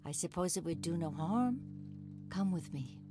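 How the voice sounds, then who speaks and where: A woman voicing a kind, gentle elderly grandmother in a video game, speaking softly and fondly. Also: fairly low pitch, expressive, moderate pace, pitched below the performer's own voice, close to an everyday voice. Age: older. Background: game music.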